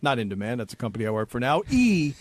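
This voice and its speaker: A man bantering casually on the radio, making his points in an emphatic, deliberate way. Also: mid-range voice, sing-song.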